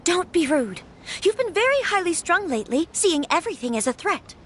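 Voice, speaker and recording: An actress playing a gentle young noblewoman in an anime fantasy game, troubled yet firm, with soft, earnest, formal phrasing. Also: very high voice, big pitch swings, a little fast, slight background noise, heavily acted. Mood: firm, reproachful.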